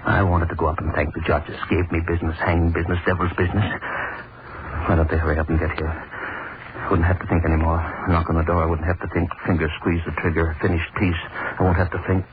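A man's voice: low voice, level tone, fast talker, natural voice. An actor playing a greedy, gloating male hangman who narrates in brooding inner monologue, on an old radio drama. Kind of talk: 1940s radio acting. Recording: tinny old radio broadcast, loud hiss.

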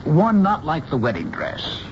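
An exasperated, middle-aged American dad in a radio play. His pitch leaps up and down as he insists and stammers. The old broadcast sound is dull with a faint hiss. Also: wildly swooping pitch, unhurried, gravelly, exaggerated voice. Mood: exasperated, scheming. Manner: insistent, stammering, repeating himself. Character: bossy, exasperated father of a bride, middle-aged man. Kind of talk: radio drama acting.

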